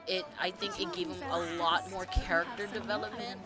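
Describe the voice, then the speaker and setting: A woman streamer rambling casually and excitedly, full of fillers and the odd swear word. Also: fairly low pitch, brisk, over loud music, over a noisy crowd. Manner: gushing, animated, swearing casually, lots of fillers. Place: home streaming setup.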